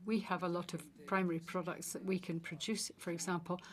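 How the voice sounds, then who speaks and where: A woman interpreting formal meeting speeches live, in halting, phrase-by-phrase English delivery. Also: fairly low pitch, flat delivery. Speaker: simultaneous interpreter. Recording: loud crowd murmur behind.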